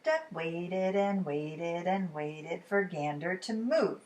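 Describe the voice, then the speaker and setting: A woman reading a picture book aloud to children in a dramatic, expressive way, at times dropping to a hush. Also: on the low side, slow pace. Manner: dramatic, dropping to hushed whispers. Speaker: children's storytime reader.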